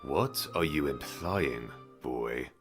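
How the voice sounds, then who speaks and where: A man playing a haughty, gruff fantasy village mayor who talks in a formal, condescending way in an audio drama. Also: extremely low pitch, lively intonation, slow, over music, exaggerated voice. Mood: incredulous. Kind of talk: fantasy audio roleplay acting.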